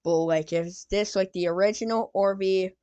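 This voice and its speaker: A woman's voice: mid-range voice, fairly even intonation, normal speed, lo-fi sound, heavy background noise. A woman streamer chatting casually as she reacts to videos, with asides to her pet cat.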